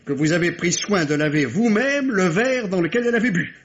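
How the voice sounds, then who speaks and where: A man's voice: high-pitched, animated, a little fast. A man acting a shrewd, insistent police inspector in a vintage radio mystery, speaking formally and precisely. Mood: accusing. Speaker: radio drama actor. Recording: dull, lo-fi old radio recording.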